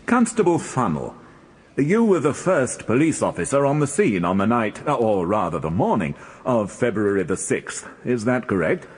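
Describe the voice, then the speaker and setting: An actor playing a formal, probing, faintly condescending British barrister questioning a witness in a radio courtroom drama. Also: male voice, mid-range voice, very animated, moderate pace, slightly rough. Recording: reverberant courtroom-like hall.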